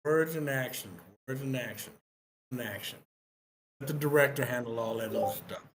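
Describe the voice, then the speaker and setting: A man's voice: medium pitch, moderate pace, rough recording. A man in a casual group discussion, speaking in punchy, repeated short phrases. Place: online meeting room. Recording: clear background noise, ambience.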